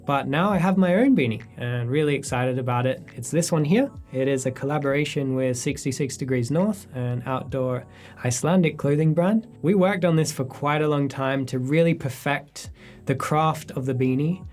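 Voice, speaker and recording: A man in his thirties, a photographer, answering viewer questions in a relaxed, reflective sit-down chat in his studio. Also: medium pitch, moderate pace, faint music behind. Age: 30s. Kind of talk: casual sit-down Q&A. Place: his studio space, indoors.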